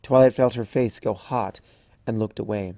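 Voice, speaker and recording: Man reading a story softly. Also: lowish voice, fairly even intonation, normal speed, gravelly, dry recording. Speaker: fanfiction reader.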